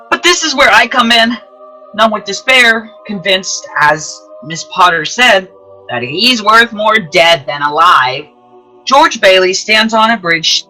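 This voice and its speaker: Earnest apprentice angel for radio drama, played by an actor: forceful, unhurried, very lively pitch, emphatic. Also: full character voice, rough recording. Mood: animated storytelling. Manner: animated, emphatic, deliberate. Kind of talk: old-time radio play acting with narration to listeners.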